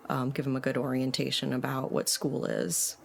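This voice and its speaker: A middle-aged woman from Puerto Rico, a psychologist guest speaking softly with many fillers in a casual interview. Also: a bass voice, level tone, moderate pace, creaky. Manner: soft-spoken, many fillers.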